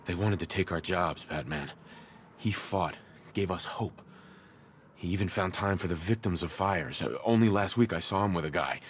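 Man playing low-voiced, shaken firefighter. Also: normal speed, a little hiss or hum, natural voice. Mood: admiring, somber. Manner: earnest, relieved, worried. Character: shaken, loyal male firefighter rescued from captors. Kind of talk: video game voice acting.

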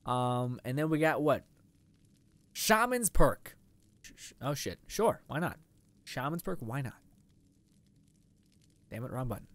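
An eighteen-year-old man giving rambling, casual let's play commentary, his voice a little raspy after eating. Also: mid-range voice, very animated, measured pace, pauses often, fairly bright tone, dry recording. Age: teens. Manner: rambling, chatty, lots of fillers. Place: indoor room.

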